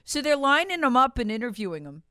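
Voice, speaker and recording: A woman delivers opinionated political commentary as a host. Her voice sits a little high and swings widely in pitch. She is sarcastic and emphatic, repeating phrases to drive them home, in a close, dry sound. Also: sing-song, quick pace, bright, forceful tone, dry recording. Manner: acts out quotes conversationally.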